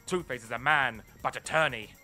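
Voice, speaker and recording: A man playing the straight-faced narrator of an absurd superhero radio play, reading short declarations deadpan. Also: medium pitch, brisk, faint music behind.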